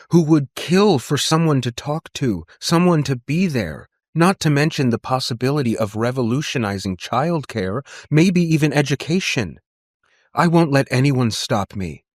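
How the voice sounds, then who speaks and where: A man's voice: mid-range voice, no room sound, studio quality, pitched above the performer's own voice. A man voicing a driven, emotional woman inventor in an audiobook, arguing with passion and defiance. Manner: impassioned, argumentative.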